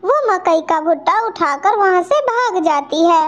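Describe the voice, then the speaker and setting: Very high-pitched woman narrating a children's story. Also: lively intonation, a little slow.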